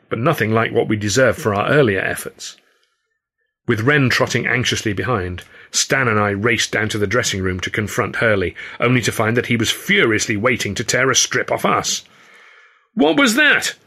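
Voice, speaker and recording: A man reading first-person fiction as an expressive audiobook narrator, acting out the dialogue as he goes. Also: lowish voice, moderate pace, vocal fry, slightly rough. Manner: expressive storytelling with acted dialogue. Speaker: author reading own novel.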